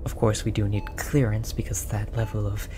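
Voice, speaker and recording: A performer voicing a gentle, apologetic alien researcher, speaking softly and hesitantly in an earnest, reassuring roleplay style. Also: medium pitch, little pitch movement, normal speed, natural voice. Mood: flustered, apologetic. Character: tall, apologetic, gentle alien researcher soothing an abducted captive. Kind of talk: roleplay audio drama, soft comforting delivery. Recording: audible background noise, monitor beeping.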